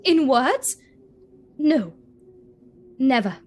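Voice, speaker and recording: An actress playing a sorrowful but resolute young fiancée in a radio play, measured and pausing between phrases. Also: female voice, high-pitched, very animated, full character voice. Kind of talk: theatrical radio play acting. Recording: noisy ambience behind the voice.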